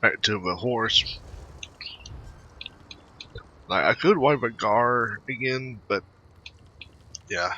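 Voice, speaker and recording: A man giving casual, rambling gameplay commentary as a video host, speaking in halting phrases. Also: medium pitch, bright, forceful tone. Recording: muffled home mic with faint noise.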